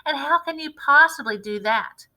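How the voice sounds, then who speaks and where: A fretful, doubting wife who nags that nothing will work, talking in a bright, raised voice, as a woman reading a storybook puts her on. She sounds anxious and disapproving. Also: high voice, moderate pace, voice pushed up, poor audio. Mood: nagging doubtful. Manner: sceptical, fretful. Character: doubting, nagging farmer's wife.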